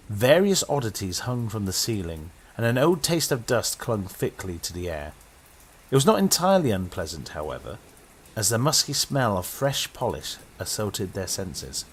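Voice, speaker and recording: A British man narrating a horror story in a dramatic storytelling manner. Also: husky. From Britain. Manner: dramatic storytelling with sweeping pitch.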